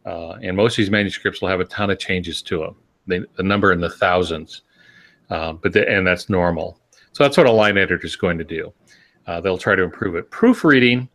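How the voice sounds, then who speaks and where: Older male podcast co-host, lowish voice, casual explainer with a dry joking streak. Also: fairly bright tone. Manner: wry, joking.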